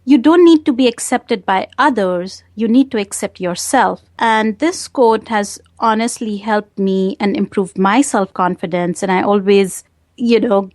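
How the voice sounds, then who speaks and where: A woman from India with an Indian accent, answering interview questions earnestly and in a measured way, with hesitant fillers. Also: mid-range voice, a little mellow, no echo, studio quality. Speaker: guest, wellness coach.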